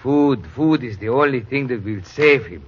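An actor playing a grave, sorrowful family doctor who speaks frankly in a 1940s radio drama. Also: male voice, medium pitch, lively intonation, normal speed, natural voice. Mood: sorrowful, urgent. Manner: solemn, frank. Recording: dull, noisy 1940s radio recording.

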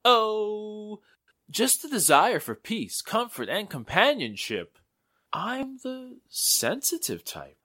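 Man playing a wisecracking, lively 1940s radio pal. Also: sits high, takes it slowly, slightly rough, no room sound, studio quality, exaggerated voice. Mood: playful, wry. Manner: jokey, theatrical patter. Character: wisecracking, playful man friend of a newspaperwoman.

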